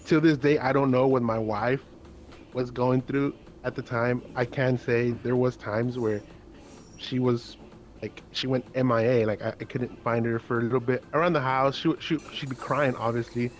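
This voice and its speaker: A man hosting a heartfelt conversation, speaking earnestly and haltingly, with many restarts and repeated words. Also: medium pitch, fairly even intonation.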